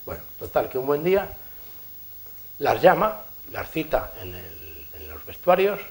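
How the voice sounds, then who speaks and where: A man with a Spanish accent from Spain giving a conference lecture, telling lively anecdotes and chuckling at his own stories. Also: medium pitch, measured pace, gravelly. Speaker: engineer giving a conference talk. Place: lecture hall.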